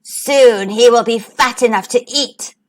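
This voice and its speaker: A woman voicing a scheming, child-eating witch in a children's storybook, with a menacing, deliberate manner. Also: a little high, no room sound, lightly acted.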